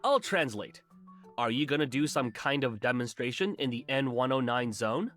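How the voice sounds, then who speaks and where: A man's voice: medium pitch, animated, moderate pace, fairly bright tone, lightly acted. A man playing a cheeky, eager young henchman with a cocky manner in a game story. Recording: music behind.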